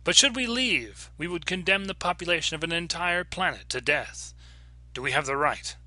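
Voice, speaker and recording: Man reading as an urgent, bright-voiced time traveler. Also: a little high, animated, normal speed, dry recording, pitched above the performer's own voice. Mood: frantic, grave. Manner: earnest, emphatic, formal diction. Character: frantic, principled time-travelling man pleading a doomed planet's case. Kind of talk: dramatic audiobook character reading.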